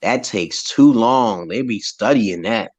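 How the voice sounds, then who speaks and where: Deep-voiced man chatting loosely. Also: lively intonation, takes it slowly, slightly rough. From United States. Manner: loose, opinionated, slangy and laughing. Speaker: conversation partner in an English chat. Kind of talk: casual chat.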